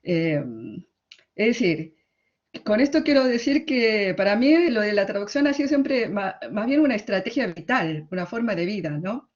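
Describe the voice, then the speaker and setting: A woman's voice: mid-range voice, animated, moderate pace. Woman with an Argentine accent, conference speaker, reflective and conversational, with a muffled lo-fi sound. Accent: Argentine Spanish. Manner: reflective, frequent tag questions. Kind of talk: conference talk.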